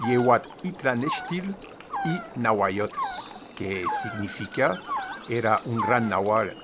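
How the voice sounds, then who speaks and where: A man's voice: mid-range voice, huge pitch swings, takes it slowly, heavy background noise. A man giving an informal history lecture, hesitant and full of fillers. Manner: says his own Spanish is a bit crooked. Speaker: video presenter on Nahua history. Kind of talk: informal lecture, partly read aloud.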